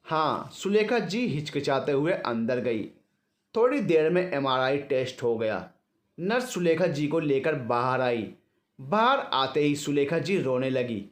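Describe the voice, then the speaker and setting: A man's voice: fairly high pitch, expressive, a little slow. A man with an Indian accent narrating a scripted story expressively, acting out the dialogue.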